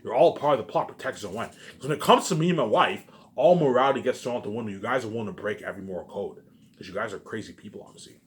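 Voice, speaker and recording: A man giving a rambling, unscripted, repetitive monologue to viewers, emphatic, with loud bursts that drop into muttered asides. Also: on the low side, very fast, slight background noise. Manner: repetitive, circling back to same phrases. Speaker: online video maker.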